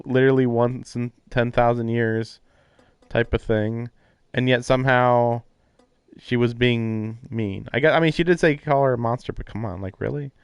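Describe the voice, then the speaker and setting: A man's voice: medium pitch, smooth, no echo, clean studio sound. A man doing casual livestream commentary and reading aloud, puzzled and musing as he goes. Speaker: livestreamer playing a visual novel. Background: game music.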